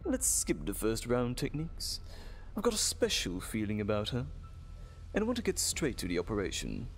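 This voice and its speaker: A villainous mad doctor for an audio drama, gleeful and genteel. His deep, creaky voice swoops wildly as he savors each cruel phrase, with faint noise underneath. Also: huge pitch swings, normal speed. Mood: eager, brisk. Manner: genteel, theatrical, relishing every word. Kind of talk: dramatic audio roleplay acting.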